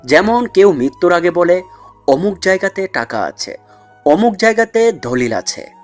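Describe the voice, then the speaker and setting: Man acting a cunning smug mafia mastermind, animated delivery. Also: sits high, unhurried, soft background music. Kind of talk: dramatised audio story acting.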